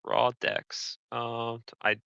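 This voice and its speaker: A man's voice: mid-range voice, fairly even intonation, takes it slowly, gravelly, bright, forceful tone, smooth, no room sound. A man playing a tabletop game, chatting casually about what his character does, on a slightly dull, dry recording. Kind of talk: casual game chat, speaking for his character in third person.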